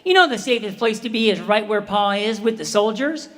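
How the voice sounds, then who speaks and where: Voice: medium pitch, a little fast, fairly bright tone, in a large hall, lightly acted. An actor playing a plucky, earnest young frontier son in a 1930s radio western, with folksy, exclamatory talk. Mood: reassuring.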